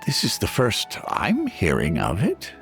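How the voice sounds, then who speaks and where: A man's voice: lowish voice, huge pitch swings, unhurried, creaky, fairly bright tone, faint music behind, full character voice. A man voicing a polite, forgetful old village elder in a video game. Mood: puzzled. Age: older. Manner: polite, hesitant, apologetic.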